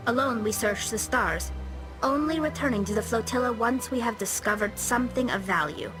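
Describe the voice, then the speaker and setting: A woman's voice: on the high side, moderate pace, over music, lightly acted, low-quality recording. A young actress playing an earnest alien engineer in a video game, patiently explaining her people's ways. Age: young adult.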